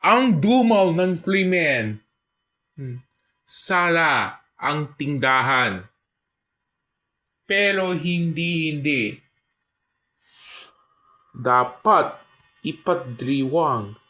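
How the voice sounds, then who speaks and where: A man with a fairly high voice hosts a crime program on the radio. He narrates very slowly and dramatically, stretching out his scripted phrases. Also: expressive, sharp tone. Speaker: crime show host.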